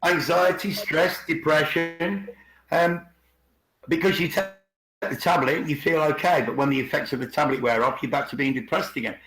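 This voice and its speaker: A man's voice: on the high side, moderate pace, fairly bright tone. A middle-aged man in casual, opinionated discussion on an online call, rephrasing himself emphatically as he goes. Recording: roomy, echoey online call.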